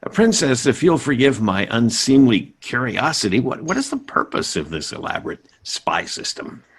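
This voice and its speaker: Exasperated sitcom dad, widely swinging intonation. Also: male voice, medium pitch, very animated, moderate pace, slightly rough. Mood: sardonic disbelief. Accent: American. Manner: stammering, indignant, sarcastic. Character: exasperated middle-aged father nostalgic for simple Christmases. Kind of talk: live radio sitcom acting.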